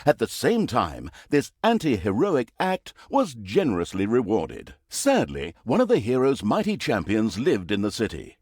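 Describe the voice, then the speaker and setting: A man's voice: mid-range voice, wildly swooping pitch, normal speed, few pauses, a little mellow, breathy edge, dry recording, studio quality. A man playing a mock-grand, sardonic narrator of a villain's exploits in a fantasy video game, telling the story in a hammy theatrical way. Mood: gloating, mock-solemn.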